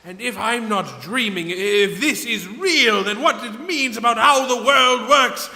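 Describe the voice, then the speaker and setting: A man's voice: very high voice, a little slow, bright, forceful tone, heavily acted. An actor playing a haunted, panicked scholar and father in a radio play, stammering and gasping. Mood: dread. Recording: long reverberant hall sound.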